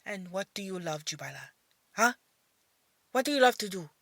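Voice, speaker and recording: A woman's voice: mid-range voice, expressive, normal speed, a bit bright, no echo. A woman voicing a practical, traditional middle-aged mother with a lecturing, questioning manner in an audio drama. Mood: challenging.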